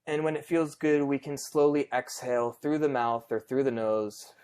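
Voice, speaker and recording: Guy doing a guided meditation, really smooth clear voice, gentle n unhurried, kinda chatty. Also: mid-range voice, steady tone. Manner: gentle, reassuring, unhurried with long pauses. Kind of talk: guided meditation, conversational and unscripted.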